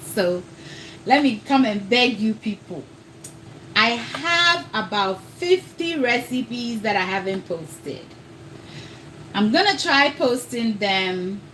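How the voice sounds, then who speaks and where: Slow-talking woman, accent between Ghanaian and American. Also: on the high side, animated, pushed and bright, a little hiss or hum, rough recording. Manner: chatty, talks straight to viewers, calls them y'all. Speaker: cooking livestream host. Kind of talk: casual live cooking chat.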